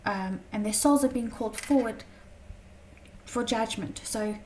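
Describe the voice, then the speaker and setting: A woman teaching a tarot lesson in a chatty, casual way, her voice slightly croaky from a long day of talking, often checking in with "okay?". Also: a little high, a little slow, far from the mic, slight background noise, rough recording. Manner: chatty, many fillers and "okay?" check-ins.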